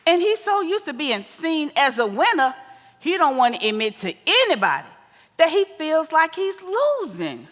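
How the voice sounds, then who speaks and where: A woman acting a sassy, scolding, wise older American mother in a stage play, with an animated, folksy, preachy delivery. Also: high-pitched, moderate pace, heavily acted. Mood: earnest, lecturing. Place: live stage in a theater. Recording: echoey theater hall with long reverb.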